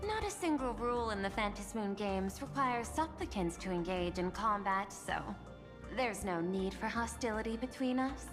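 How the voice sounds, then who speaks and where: Woman voicing composed female administrator, game dialogue, medium pitch, clipped analytical phrasing, formal, calculating, faint music. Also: animated, normal speed, long reverb tail, natural voice. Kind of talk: video game character dialogue.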